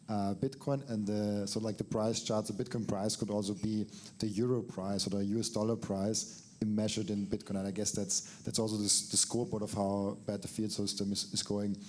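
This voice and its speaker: A man's voice: on the low side, little pitch movement, moderate pace, a little mellow. A man steering a conference panel, tripping over and repeating his words as he reframes an idea. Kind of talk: panel discussion.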